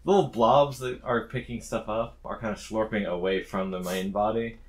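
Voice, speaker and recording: Very low-voiced woman narrating a tabletop game. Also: steady tone, normal speed. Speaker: game master.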